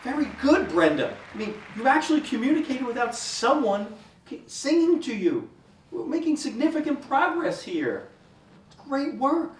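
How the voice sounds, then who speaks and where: Male actor as group therapist: placating, over-encouraging, hesitant, highish voice, distant mic, comedic sketch. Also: expressive, normal speed, a little mellow, close to an everyday voice. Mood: elated. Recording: echoey room, distant mic, faint noise.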